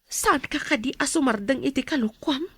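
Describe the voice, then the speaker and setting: A woman with an Ilocano accent playing a gentle, devoted young wife and mother in a radio drama. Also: high-pitched, normal speed, no room sound. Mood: anxious, hopeful.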